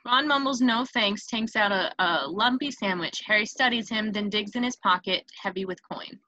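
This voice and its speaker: Bright-voiced woman reading screenplay narration. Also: mid-range voice, moderate pace, dry recording, muffled recording. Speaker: stage-direction narrator. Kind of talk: scripted narration at a table read. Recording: noticeable background noise on home mic.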